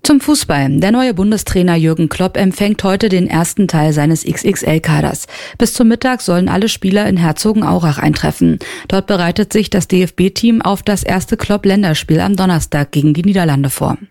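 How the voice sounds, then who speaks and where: A man reading a radio news bulletin in an even, measured way. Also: high-pitched, gravelly, no echo, studio quality. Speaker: newsreader.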